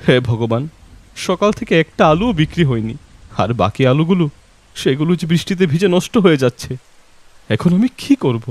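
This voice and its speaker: A man's voice: fairly high pitch, animated, moderate pace, a little mellow, natural voice. A man voicing a poor, luckless potato seller and worried father, lamenting, in a cartoon moral story. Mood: despairing.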